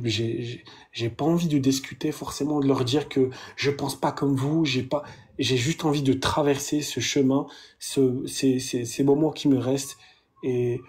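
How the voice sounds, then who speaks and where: Un homme d'une trentaine d'années, invité, qui parle de sa foi d'une voix hésitante, en cherchant ses mots et en répétant certains d'entre eux. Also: male voice, medium pitch, a little mellow, slightly rough. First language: Arabic. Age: 30s. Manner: hesitant, halting, repeats words. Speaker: guest. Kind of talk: emotional conversation about faith.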